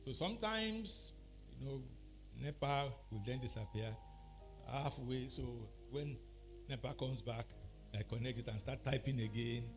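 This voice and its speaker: Nigerian man explaining deliberately, music behind. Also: mid-range voice, expressive. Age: middle-aged or older. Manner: deliberate, explanatory, pausing between short phrases. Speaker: speaker giving a talk. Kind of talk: anecdotal public talk.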